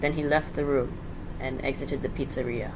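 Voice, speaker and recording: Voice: mid-range voice, fairly even intonation, moderate pace. An amateur narrator giving a dramatic story reading, informal, stumbling over words and correcting along the way. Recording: faint background noise.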